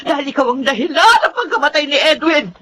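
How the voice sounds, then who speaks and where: A woman's voice: high-pitched, quick pace, bright, forceful tone, a little hiss or hum. A woman playing an emotional, accusing Filipino mother in a radio melodrama. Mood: bitter, tearful rage. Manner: emotional, accusing, swooping delivery. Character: grieving, accusing mother of a poor family, hot-tempered.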